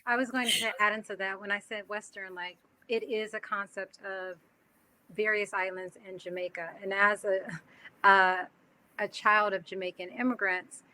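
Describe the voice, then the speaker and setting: A woman filmmaker on a video-call panel, speaking thoughtfully with halting restarts. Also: mid-range voice, flat delivery, bright, forceful tone. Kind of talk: panel discussion.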